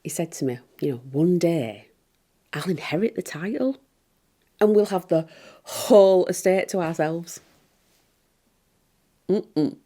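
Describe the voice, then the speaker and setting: An actress playing a smug, scheming pantomime stepsister, gossiping confidingly in a comic monologue. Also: female voice, sits low, warm tone. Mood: smug, dreamy.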